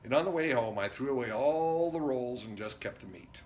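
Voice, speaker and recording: A man performing a dramatic stage monologue as an intense, rambling drifter, speaking in emphatic broken phrases with pauses. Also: medium pitch, expressive, a little mellow, close to an everyday voice. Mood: suspenseful, deliberate. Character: Jerry, intense rambling drifter confiding a story. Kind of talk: theatrical dramatic monologue reading. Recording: noticeable background noise.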